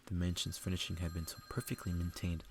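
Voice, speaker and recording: A man reading a story aloud in a soft, subdued ASMR style, unhurried and gravelly. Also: level tone, slight background noise. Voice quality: low, gravelly, soft-spoken. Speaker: ASMR reader.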